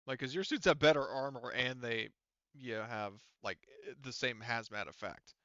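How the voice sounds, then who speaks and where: Casting: male game master, mid-pitched and clear, rambling casual explanations, close dry room. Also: bright, forceful tone, no room sound, highs cut off. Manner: halting, rambling, filler-heavy explanations. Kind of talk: casual tabletop game narration.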